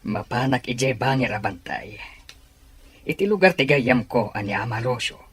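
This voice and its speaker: An actor playing a tribesman and husband with a gentle, reassuring manner, in radio-style drama. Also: medium pitch, expressive, moderate pace, husky. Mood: calm, reassuring. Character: calm, reassuring husband and tribesman setting out riding. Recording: music under the voice.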